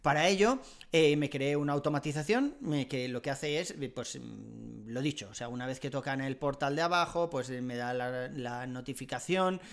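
A man's voice: medium pitch, moderate pace, vocal fry. A middle-aged man with a Castilian Spanish accent explaining things casually and colloquially, close to a desk microphone. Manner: conversational, colloquial, with frequent tag questions. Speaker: tech vlogger. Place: furnished living room at home. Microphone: desk microphone close in front.

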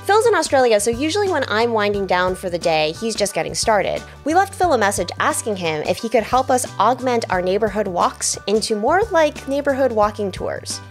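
A woman's voice: a little high, very animated, fairly quick, hardly any pauses, faint music behind. A woman, presenting scripted narration as a video host.

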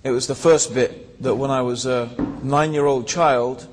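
A man's voice: medium pitch, fairly even intonation, measured pace, slight background noise. A man, telling his life story to a hall audience, with rhetorical asides and many fillers, into a handheld mic. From born in Tanzania, raised in England. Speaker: speaker. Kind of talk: personal story talk to an audience. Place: large hall with seated audience. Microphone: handheld microphone. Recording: long hall reverb.

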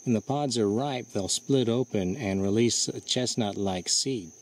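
A man vlogging outdoors under trees, talking in a relaxed, explanatory way to his handheld camera. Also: fairly low pitch, a little slow. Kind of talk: casual outdoor show-and-tell. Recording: faint insect and cricket noise.

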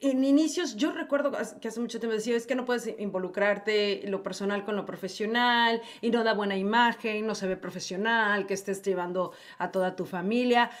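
A woman's voice: medium pitch, a little fast, few pauses. A woman hosting an interview show from a studio, asking teasing, playful questions. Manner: playful, teasing, laughs easily. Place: studio with headset-style mic setup. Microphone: studio microphone in front.

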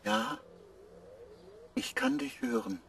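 An actor playing a confused male spacecraft AI who gives short flat answers and drifts into eerie visions, in a radio play. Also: very high-pitched, slow pace, gravelly, a little hiss or hum, full character voice. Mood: quiet.

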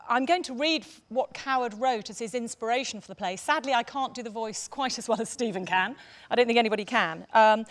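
A middle-aged woman chairing a stage panel, reading from notes and making introductions in a hesitant way with frequent pauses. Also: fairly high pitch. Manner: hesitant, often pausing with ums. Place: on stage in a theatre.

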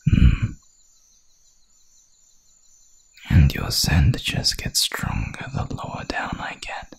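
A man voicing a hungry, dominant werewolf hunter in an ASMR roleplay, with a gravelly, breathy growl and a menacing, seductive drawl. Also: rumbling low, very animated, unhurried, full character voice.

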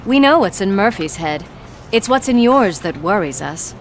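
A woman playing a steady, wry police officer in a game, speaking in a direct, dry way. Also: mid-range voice, normal speed, faint music behind. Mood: wry, challenging.

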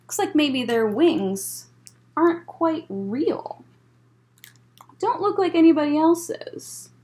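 Female story time reader, fairly high voice, lively intonation, unhurried, clear explaining manner for kids. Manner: expressive, deliberate, explains to young listeners. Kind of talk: read-aloud picture book storytelling with asides. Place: indoors, library room with bookshelves.

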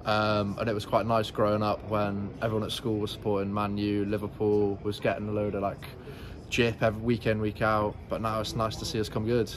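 A man's voice: fairly low pitch, completely flat delivery, brisk. A young man from North East England answering a street interview as a football fan, hesitant, with many "um"s and "like"s. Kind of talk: street vox pop. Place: city street outdoors. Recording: noisy street background.

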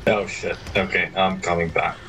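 A man's voice: deep voice, flat delivery, moderate pace, bright, forceful tone, slight background noise. A tired man playing a co-op game with a friend, giving short, laid-back answers in casual chat. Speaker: co-op gaming partner. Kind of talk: casual voice chat while gaming.

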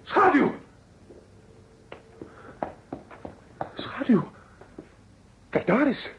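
In a muffled, noisy old radio play, an anxious male house guest. He speaks haltingly in a lively, mid-pitched voice that swings up and down. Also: creaky, full character voice. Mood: alarmed. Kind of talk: Dutch radio drama acting.

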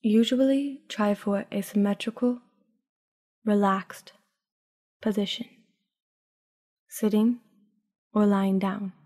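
Soft, mellow woman guiding sleep meditation. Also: medium pitch, fairly even intonation. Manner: soothing, hushed, unhurried with long pauses. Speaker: guided meditation narrator. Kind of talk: guided sleep meditation, scripted narration.

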